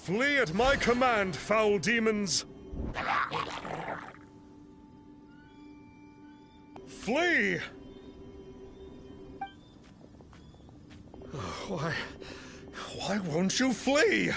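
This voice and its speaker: Pompous, swooping sham exorcist. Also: male voice, sits high, sing-song, slow pace, creaky, music in the background, heavily acted. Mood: commanding, panicked. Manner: pompous, stilted archaic phrasing, calls himself one. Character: pompous young male sham exorcist, boastful but cowardly. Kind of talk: theatrical video game voice acting.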